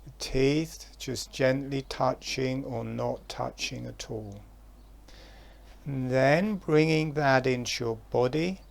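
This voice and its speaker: Very slow, gravelly man guiding relaxation. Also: medium pitch. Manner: soft, calm, drawn-out phrasing with long pauses. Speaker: relaxation guide. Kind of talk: guided relaxation instruction.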